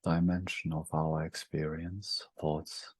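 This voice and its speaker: Very deep, slow male meditation guide. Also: level tone, slightly rough, dry recording. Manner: gentle, halting phrases with long pauses.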